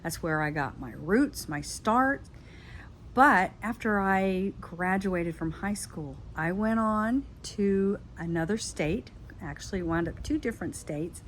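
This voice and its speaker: A woman's voice: medium pitch, animated, slow, slight background noise. An older woman from Florida, a doll craft vlogger, telling her life story in a chatty, friendly way. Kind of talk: casual personal storytelling.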